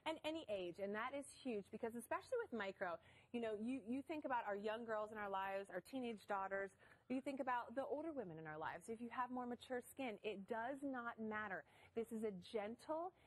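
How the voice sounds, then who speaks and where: A woman's voice: a little high, lively intonation, brisk. Upbeat woman with an Ohio accent. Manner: upbeat, emphatic selling patter. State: pregnant. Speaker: product spokeswoman. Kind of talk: shopping channel product pitch.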